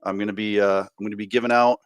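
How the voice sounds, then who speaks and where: Male podcast co-host, informal and halting, close dry recording. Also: lowish voice, flat delivery, dry recording. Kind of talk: casual podcast chat.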